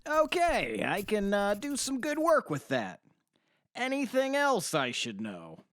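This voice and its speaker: A man's voice: sits high, huge pitch swings, slow, a bit bright, dry recording, clean studio sound, heavily acted. A man playing a formal, polite, slightly hesitant middle-aged defense lawyer in an audio drama. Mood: measured, concerned.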